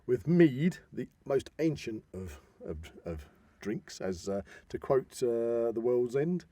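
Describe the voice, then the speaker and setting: Mellow, husky middle-aged British man. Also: medium pitch, very animated, slow. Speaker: podcast co-host. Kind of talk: casual podcast chat.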